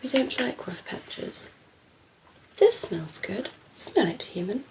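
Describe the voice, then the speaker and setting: A woman voicing a cheeky, flirtatious female vampire in an ASMR roleplay, breathy and often whispering, teasing and cooing with condescending affection. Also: on the high side, big pitch swings, slow, heavy background noise, voice pushed up, full character voice. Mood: flirty, doting.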